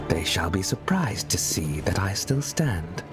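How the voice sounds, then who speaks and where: Weary, honourable fantasy game hero, very low voice, grave and courteous, loud music beneath. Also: male voice, very animated, unhurried. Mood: grave, resolute. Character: weary, defiant, honourable man, devoted observer. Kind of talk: dramatic fantasy game acting.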